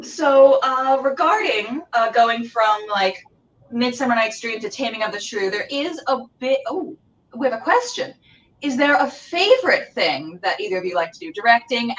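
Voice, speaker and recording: A woman's voice: fairly high pitch, a bit bright. A woman hosting a casual interview, chatting in an informal, effusive way. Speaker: interviewer and show host. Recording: distant mic with background noise.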